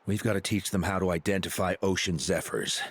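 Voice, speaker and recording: An actor playing a stern, principled warrior chief in a video game, deliberate and authoritative. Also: male voice, sits low, slight background noise. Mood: amused, commanding. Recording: background music behind voice.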